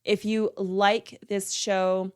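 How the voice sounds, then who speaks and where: A middle-aged woman talks earnestly on her solo podcast, her voice stuffy and nasal from congestion. Her tone stays smooth and clear at a middle pitch, and she leans on repeated words to drive points home. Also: flat delivery, very slow. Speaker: podcast host.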